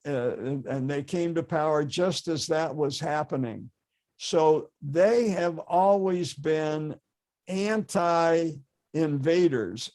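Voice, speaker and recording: Halting older man giving a lecture. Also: a little high, a little mellow. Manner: halting, many fillers and restarts. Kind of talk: informal history lecture.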